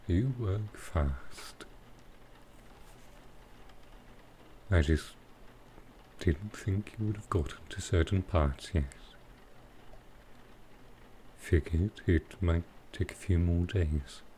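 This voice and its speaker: A man playing a sly, dryly amused male supervillain in a soft roleplay ASMR style, with halting, understated delivery. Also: low voice, slight background noise. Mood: casual, hesitant. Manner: unhurried, halting delivery with pauses, dry understated humour.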